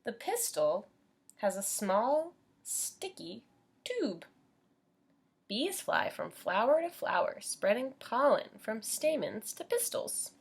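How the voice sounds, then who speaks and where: An American woman reads a children's book in the manner of a preschool teacher. Her voice is a little husky and moves expressively up and down in pitch. She takes her time, explaining things simply and asking questions of young listeners. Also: sing-song, takes it slowly. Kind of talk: children's read-aloud with teaching asides.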